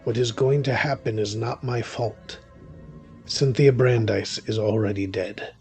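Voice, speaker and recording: Casting: male actor as cynical, weary witch narrator for horror audio thriller; wry, theatrical, medium pitch, over a music bed. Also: steady tone, measured pace, gravelly, a little mellow, slightly rough, exaggerated voice. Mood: wary, resigned. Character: weary, cynical male witch hunting through a haunted house. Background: music behind the voice.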